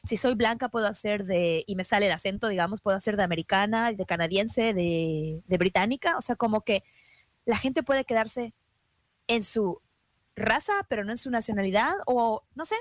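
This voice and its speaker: A woman with a Mexican accent talks in a casual podcast discussion. Her voice sits at an average pitch, with very little room sound. She thinks aloud and frames her points as open questions. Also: normal speed, no room sound. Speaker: talk show co-host.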